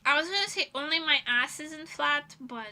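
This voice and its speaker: A woman's voice: high voice, animated, normal speed, pushed and bright. A young woman livestreamer chatting casually in an informal, self-deprecating, rambling way.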